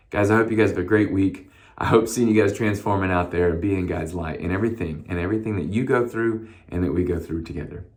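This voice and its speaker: A male pastor speaks with a hoarse voice that sits very low. His delivery is relaxed and conversational, full of fillers and restarts. He sounds like he is chatting to his congregation rather than preaching from a pulpit. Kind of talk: short devotional message.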